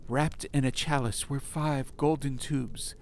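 A man reading an atmospheric fantasy audiobook in a measured, dramatic way. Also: medium pitch, fairly even intonation. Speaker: audiobook narrator. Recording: music behind.

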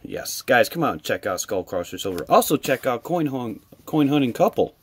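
An American man, a hobby vlogger with a husky voice, chatting casually and appreciatively to viewers as he shows things on camera. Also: medium pitch, sing-song, normal speed, slight background noise. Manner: enthusiastic, appreciative. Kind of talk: casual chat to camera while opening mail.

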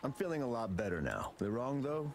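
A man's voice: medium pitch, very animated, fairly quick, gravelly, soft background music. An actor voicing an earnest, grieving male guard in a video game. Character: somber loyal male camp guard.

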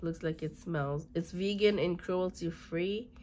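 A woman in her thirties, hoarse and raspy from a cold, chatting through a beauty unboxing and reading product labels aloud in a halting way, in a small room. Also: lowish voice, warm tone, a little hiss or hum. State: hoarse, congested from illness. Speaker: beauty vlogger. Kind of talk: casual unboxing chat. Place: small bedroom with fluffy chair, indoors.

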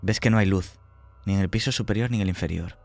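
Low-pitched Spanish man narrating horror. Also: a little mellow. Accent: Spain. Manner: slow, suspenseful second-person narration in short phrases. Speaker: game master. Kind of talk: narrating a horror tabletop role-playing game.

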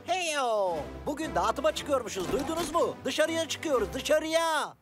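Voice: high voice, lively intonation, unhurried, over music. A performer voicing a cheerful, curious young car in a children's cartoon, playful, talkative and cheeky. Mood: excited, chatty. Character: cheerful, chatty, curious young red self-driving car. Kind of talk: animated children's cartoon acting.

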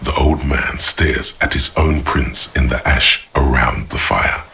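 Casting: a detective's inner voice, extremely low, measured and literary game narration over music. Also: male voice, vocal fry, pushed and bright, a little hiss or hum, full character voice. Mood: hushed, grave. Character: grave, observant inner voice narrating a detective's thoughts. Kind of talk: dramatic second-person game narration. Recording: music under the voice. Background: background music.

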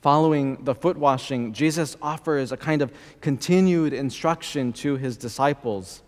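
A young man preaching a sermon as a priest, slow and measured, with deliberate pauses, at a church lectern microphone. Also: mid-range voice. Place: large church, indoors. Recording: long church reverb.

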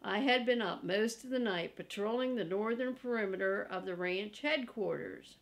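A woman's voice: mid-range voice, normal speed, creaky. An older woman reading a children's chapter book aloud as a storyteller. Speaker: storybook reader. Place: living room, seated in an armchair.

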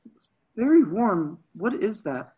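A man's voice: sits high, big pitch swings, slow, warm tone, breathy edge, full character voice. A man reading the part of an awkward, smitten young slacker in an amateur table read, stammering and hesitant. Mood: flustered. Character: awkward, smitten, dim young male slacker, nervously earnest. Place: online group video call.

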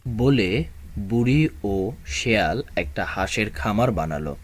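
A man's voice: on the low side, expressive, slow, a little hiss or hum. A man narrating a children's folk tale as scripted storybook narration.

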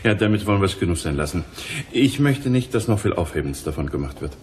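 An actor playing a discreet, authoritative wealthy client in a crime radio play, firm and measured. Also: male voice, low voice, lively intonation, darkish tone, slight background noise.

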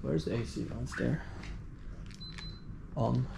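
A man's voice: mid-range voice, steady tone, slow pace, creaky. Chatty man vlogging, noisy handheld sound. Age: 30s. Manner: chatty, thinking aloud. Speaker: travel vlogger. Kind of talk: casual spoken-as-it-happens travel vlog narration. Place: city street, then hotel lobby, lift, corridor and room. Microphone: action camera held at arm's length. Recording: noisy handheld camera audio with street and room ambience.

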